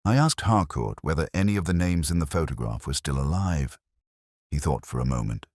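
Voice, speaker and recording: A man narrating a scripted first-person detective story in a measured, reflective, literary manner. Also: very deep voice, expressive, a little mellow, no room sound, clean studio sound.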